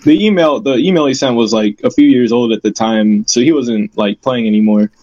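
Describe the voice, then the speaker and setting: A young man chatting casually with friends, quick and jokey, telling tall tales with a straight face. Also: mid-range voice, darkish tone, no room sound. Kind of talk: casual chat among friends. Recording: muffled lo-fi mic with faint hiss.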